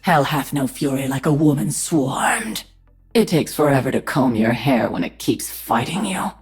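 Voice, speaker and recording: A woman voicing a sardonic, commanding alien-hybrid warrior queen in a video game, with a husky voice. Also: very deep voice, expressive, unhurried, darkish tone, faint music behind, heavily acted. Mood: menacing, sardonic. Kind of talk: video game character voice lines.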